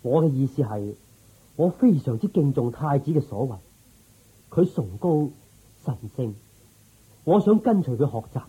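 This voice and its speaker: A man's voice: medium pitch, big pitch swings, measured pace, long pauses, slightly rough, phone-quality sound, heavily acted. A man playing a loyal, reverent royal minister in a Cantonese radio drama, formal and deferential in his speech. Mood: confiding.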